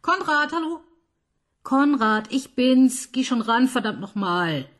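Eine Schauspielerin spielt in einem Hörspiel eine Kriminalkommissarin, die bohrend und forsch verhört. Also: female voice, on the high side. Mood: flustered. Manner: probing, brisk. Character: probing, no-nonsense female police inspector. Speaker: police detective. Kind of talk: radio play acting.

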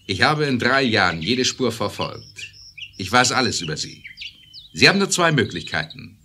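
An actor playing a stern, incorruptible judge in a 1970s German audio drama, speaking deliberately and accusingly. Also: male voice, mid-range voice, sing-song, sharp tone, exaggerated voice. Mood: firm, insistent. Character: stern incorruptible male judge confronting a forger. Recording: noisy old vinyl radio-play recording.

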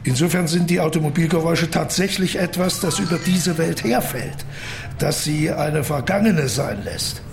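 An actor playing an eccentric, zealous intellectual who lectures emphatically in rhetorical questions in an audio drama. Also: male voice, a little high, animated, moderate pace, vocal fry. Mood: insistent, explaining. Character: eccentric male intellectual, zealous expert explaining an artwork. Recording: music playing behind the voice.

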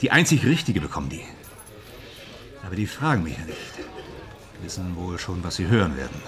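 A man's voice: low-pitched, big pitch swings, moderate pace, crowd noise. An actor playing a proud, touchy stage actor in a radio drama, boastful and emphatic in his delivery. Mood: brooding, proud. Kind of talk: naturalistic radio drama dialogue.

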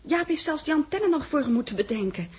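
A woman playing a curious, lightly teasing assistant with a husky voice in a 1960s radio drama. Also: sits high, lively intonation, fast talker, a little mellow. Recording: old 1960s radio recording.

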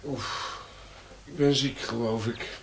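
An actor playing a weary, sick opium smoker in a radio drama, speaking in halting, muttered phrases. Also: male voice, medium pitch, steady tone, gravelly, fairly bright tone. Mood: anguished, dazed. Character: weary, sick man, an opium-smoking outsider. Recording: noisy radio play recording.

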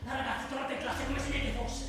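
A man's voice: very high-pitched, fairly even intonation, very fast. An actor playing an insistent, controlling man who takes charge, commanding and repeating himself, in a theatrical stage drama. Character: insistent, controlling schemer taking charge of a cover-up. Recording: distant, very echoey theatre hall, clear noise.

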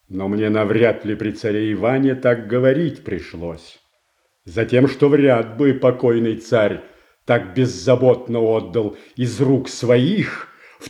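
A man's voice: on the low side, mellow. An actor playing a proud, unbending prince in a radio play, declaiming his verse solemnly. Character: proud, unbending old-guard prince defying the regent.

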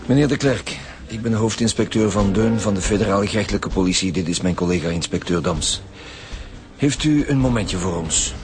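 An actor playing a blunt, suspicious Flemish chief inspector in a radio crime drama, probing and sardonic. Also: male voice, lowish voice, fairly quick, natural voice. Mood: businesslike. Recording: music behind the voice.

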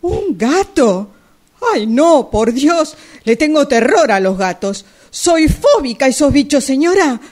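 A woman's voice: high voice, big pitch swings, a little slow, darkish tone. A woman with an Argentine accent playing a timid, nervous young client in an amateur radio play, speaking hesitantly. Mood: frightened.

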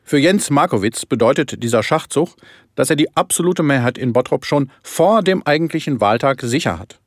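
A man's voice: fairly high pitch, expressive, moderate pace, dry recording, clean studio sound. A man playing a sober, formal television news anchor, reading a scripted bulletin in a satirical radio play.

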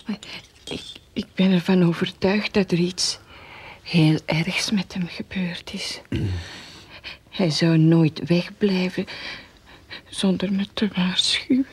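A woman playing an anxious, naive old widowed mother in a radio play, breathy, hesitant and stammering. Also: fairly low pitch, steady tone, slow, pauses often, heavily acted. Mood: worried, tearful.

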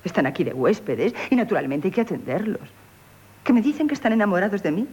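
Flattering, coquettish innkeeper, husky-edged. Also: female voice, medium pitch, quick pace, highs cut off. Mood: frank, indignant. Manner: coquettish, deferential, chatty. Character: shrewd, flattering, independent young innkeeper woman. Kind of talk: theatrical radio play acting in Castilian Spanish.

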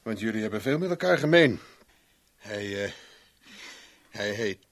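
An actor playing a wry, hard-drinking private investigator in a 1970s radio drama, with a sardonic, dry humour. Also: male voice, on the low side, expressive, a little slow, heavy background noise. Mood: wry defiance.

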